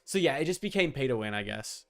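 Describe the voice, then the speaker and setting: A man's voice: medium pitch, animated, quick pace, a bit bright, no room sound, studio quality. A man chatting casually and swearing as he livestreams while setting up a game character. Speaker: streamer.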